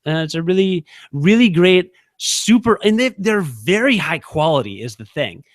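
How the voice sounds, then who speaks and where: A man's voice: on the high side, expressive, moderate pace, no echo. A man co-hosting a casual podcast, rambling with many restarts and fillers as he recommends things. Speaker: podcast co-host.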